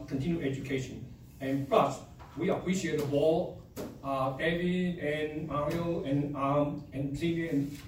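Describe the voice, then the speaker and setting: A man's voice: fairly high pitch, a little mellow, slight background noise. Chinese-accented man presenting in an echoey room. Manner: halting, many fillers, upbeat. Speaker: association presenter. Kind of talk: public meeting presentation. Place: meeting room. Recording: echoey room, mic at a distance.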